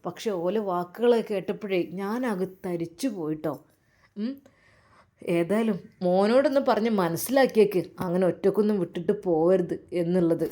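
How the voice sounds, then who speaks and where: Brief: woman doing a sly young husband, low hushed coaxing voice. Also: moderate pace, lightly acted. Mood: aggrieved. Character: scheming young husband, smooth-talking.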